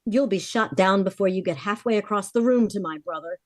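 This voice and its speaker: A woman's voice: mid-range voice, a little fast, no echo, clean studio sound, lightly acted. A woman playing a determined, earnest sister in a melodramatic 1940s-style radio drama. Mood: tense. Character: desperate, determined young sister pleading for her brother.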